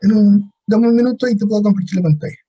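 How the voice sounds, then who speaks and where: A man's voice: high-pitched, steady tone, fast, mellow, slightly rough, lo-fi sound. A man hosting a video call, helping to set up screen sharing in a casual, helpful way. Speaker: call host. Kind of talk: casual chat.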